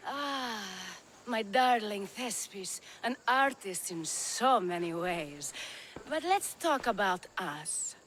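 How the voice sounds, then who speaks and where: An actress playing a worldly, flirtatious courtesan in a video game cutscene, with a languid, wry manner. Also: female voice, mid-range voice, animated, slow, a bit bright, slight background noise.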